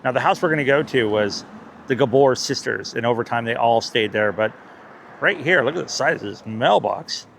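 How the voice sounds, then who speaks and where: Easygoing male vlogger, mid-range voice with some gravel, joking his way through an outdoor tour. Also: medium pitch, expressive, moderate pace, a bit bright, a little hiss or hum. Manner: chatty, jokey, self-correcting. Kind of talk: casual touring commentary. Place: outdoors in a residential neighborhood.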